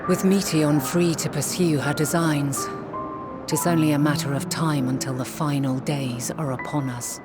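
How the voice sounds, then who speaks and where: An actress playing a wise, resolute leader in a game, speaking in measured, solemn, formal archaic phrases. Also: female voice, sits low, fairly even intonation, over loud music, lightly acted. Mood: grave, resolute. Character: wise, resolute ancient woman leader, grave yet hopeful. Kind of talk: dramatic scripted game acting.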